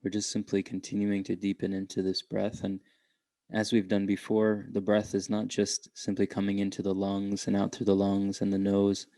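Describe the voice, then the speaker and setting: Very even, low-voiced male meditation guide. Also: dry recording. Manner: gentle, unhurried, with long pauses between short phrases.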